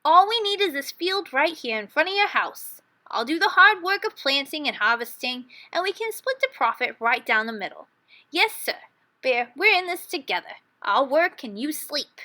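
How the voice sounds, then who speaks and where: A woman's voice: high-pitched, big pitch swings, moderate pace, pushed and bright, exaggerated voice. A woman voicing an eager, crafty male hare, persuasive and chirpy, in a read-aloud storybook. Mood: cajoling. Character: eager, crafty male hare neighbor, smooth-talking schemer.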